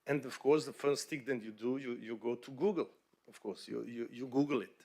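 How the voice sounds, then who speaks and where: A man from Bosnia speaks English as an at a conference panel. He talks in a halting, conversational way, with frequent fillers and restarts. His voice sits at a middle pitch. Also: dark tone. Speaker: bank executive panelist.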